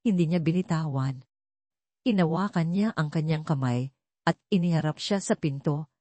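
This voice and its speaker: A woman's voice: sits low, moderate pace, warm tone, no room sound. A woman reading scripted dramatic narration as the narrator of an audio story.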